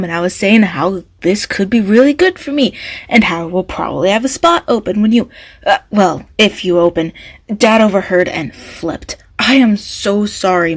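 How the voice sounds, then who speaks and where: A woman's voice: medium pitch, natural voice. An actress playing a friendly young woman who apologizes for her father.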